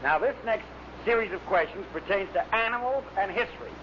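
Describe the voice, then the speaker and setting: Guy hosting old radio quiz show, high pitched, muffled with lots of hiss and noise. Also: lively intonation, fairly quick.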